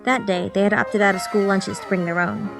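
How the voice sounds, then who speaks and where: A woman's voice: mid-range voice, fairly even intonation, fairly quick, gravelly. A woman reading scripted story narration as an audiobook narrator, with background music. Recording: music behind the voice.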